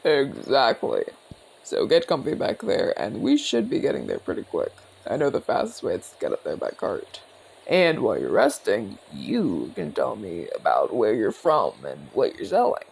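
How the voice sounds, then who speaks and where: A man voicing a genial retired orc general, calm and inquisitive, speaking courteously to the listener in an ASMR audio roleplay. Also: fairly low pitch, vocal fry, husky, exaggerated voice, poor audio. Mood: friendly, curious. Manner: courteous, measured, chatty.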